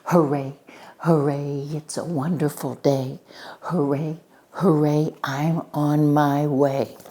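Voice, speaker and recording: A woman's voice: low-pitched, fairly even intonation, slow, dark tone. A woman voicing an eager, earnest little boy lightning bug in a storybook, exclaiming in a singsong way. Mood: singing, joyful.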